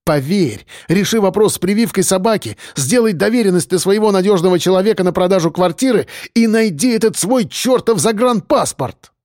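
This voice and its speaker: A man's voice: a little high, sing-song, darkish tone, no echo, clean studio sound. A man playing a harried grown son in an audiobook story, insistent and impatient with his mother. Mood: angry outburst. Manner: hurried, breathy exhaling, insistent.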